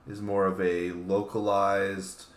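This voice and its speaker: Low-voiced man in an echoey room. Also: flat delivery, slow. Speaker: talk show co-host. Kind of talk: casual chat.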